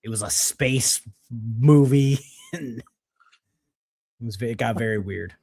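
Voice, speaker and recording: A man's voice: mid-range voice, slow pace, a little mellow, breathy edge. A man, co-hosting a casual movie chat with a chatty, self-deprecating manner. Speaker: co-host.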